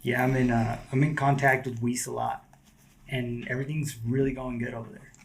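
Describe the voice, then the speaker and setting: A man's voice: mid-range voice, brisk, creaky. A man who is a guest skateboarder, talking casually and loosely on a podcast. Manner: relaxed, slangy, lots of "like" and "dude".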